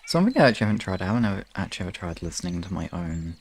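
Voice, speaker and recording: A man's voice: low voice, sing-song, racing, a little hiss or hum. A man chatting casually on a live stream in a hesitant, rambling, self-questioning way, as host of relaxation sessions. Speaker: hypnosis ASMR streamer.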